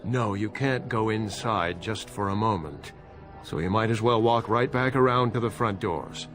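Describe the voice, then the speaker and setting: A man's voice: on the low side, moderate pace, fairly bright tone, faint music behind, close to an everyday voice. An actor playing a weary, self-serving poor man in a video game, with a drawling, grudging manner.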